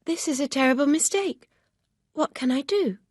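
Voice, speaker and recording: A woman's voice: high-pitched, normal speed, a little mellow, dry recording, studio quality, pitched above the performer's own voice, lightly acted. A woman doing an earnest, proud young woman.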